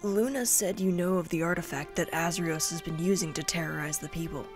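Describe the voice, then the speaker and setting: An actress playing a distrustful, self-serious elven rebel leader in a fantasy audio drama, stern and curt. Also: female voice, fairly low pitch, fairly even intonation, a little fast, music in the background, lightly acted. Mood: suspicious, stern.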